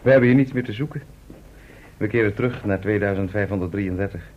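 A man's voice: lowish voice, quick pace, lightly acted. A man playing a formal, decisive time traveller from the future in an old, muffled radio play. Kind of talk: vintage Dutch radio drama acting.

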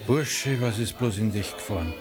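Radio play actor as exasperated fatherly man, earnest, preachy, lively intonation over music. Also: fairly low pitch, moderate pace, lightly acted. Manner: pleading, moralising. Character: earnest, honest, fatherly male guardian, exasperated. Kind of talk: 1980 German radio drama acting.

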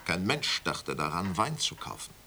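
A smug wine auction magnate, suave and sure of himself. The voice sits very low but swings widely with a bright, sharp edge. It is a radio play scene with faint noise behind it. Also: male voice, sing-song, normal speed, heavily acted. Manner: suave, self-assured, salesman-like.

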